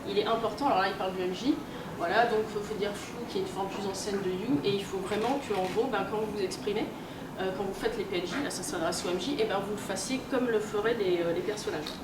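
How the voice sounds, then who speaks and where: A woman's voice: medium pitch, fast talker, far from the mic. A woman giving an informal public lecture in a conversational, hesitant way. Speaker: conference speaker, game publisher and researcher. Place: convention talk room, indoors. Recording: echoey room from afar, strong background noise.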